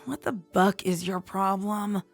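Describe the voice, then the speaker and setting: A woman playing a blunt, sardonic young dead pony with a gravelly edge in a fan audio drama. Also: mid-range voice, steady tone, unhurried, soft background music. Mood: annoyed. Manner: sarcastic, blunt.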